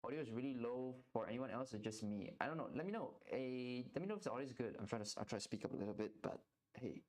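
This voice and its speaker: A man's voice: mid-range voice, expressive, fast talker, a little mellow. A young man streaming while he draws, chatting casually and rambling, often repeating words as he thinks. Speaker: artist streaming while drawing. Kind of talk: casual live-stream chat.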